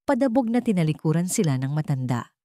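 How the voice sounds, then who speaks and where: Woman, story narrator, dramatic scripted delivery, lowish pitch, dry recording. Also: very animated, fast talker, mellow, no echo.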